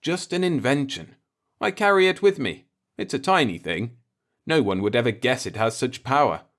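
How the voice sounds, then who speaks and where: An earnest human space traveler, played by a male narrator, talks in a raised, lively pitch. He explains and persuades with insistence and some impatience, in science fiction storybook fashion. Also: moderate pace, lightly acted. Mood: insistent, pleading.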